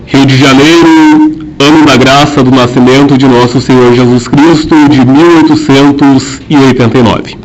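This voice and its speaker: A man narrating an amateur historical radio drama, telling it in a measured and solemn way. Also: mid-range voice, steady tone, a little hiss or hum, poor audio.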